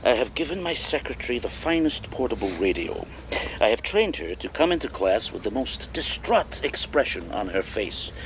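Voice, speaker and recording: An actor playing a middle-aged professor in a radio drama, telling stories in a wry, deliberate, theatrical way. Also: male voice, mid-range voice, very animated, a little hiss or hum, lightly acted, rough recording. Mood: wry, confiding. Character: wry, donnish male history professor, older mentor.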